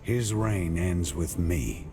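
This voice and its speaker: Deep-voiced, defiant warrior hero. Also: male voice, lively intonation, takes it slowly, exaggerated voice. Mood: grim resolve. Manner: stern, defiant. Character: defiant, resolute warrior hero, grimly determined. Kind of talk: dramatic fantasy video game acting. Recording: loud music behind. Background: loud game score.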